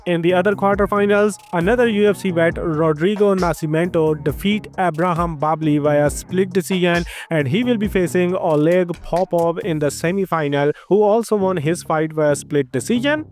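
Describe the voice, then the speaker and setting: A man with an Indian accent hosting a fight sports news roundup, delivering scripted highlights briskly. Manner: brisk, energetic delivery with frequent filler transitions. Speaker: host of a combat sports news channel. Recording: faint music behind the voice.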